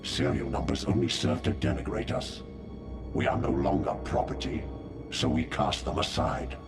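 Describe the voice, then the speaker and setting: A man voicing a menacing, contemptuous droid leader in a video game, pompous and condescending in his deliberate speech. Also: fairly low pitch, lively intonation, loud music behind the voice, lightly acted. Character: menacing, contemptuous droid hive-mind leader, male villain. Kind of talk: video game villain acting.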